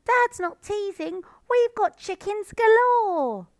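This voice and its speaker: Squealing little fox kids. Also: female voice, very high voice, animated, slow pace, no room sound, clean studio sound, pitched above the performer's own voice, exaggerated voice. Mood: excited. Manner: squealing, eager. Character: excited small fox children.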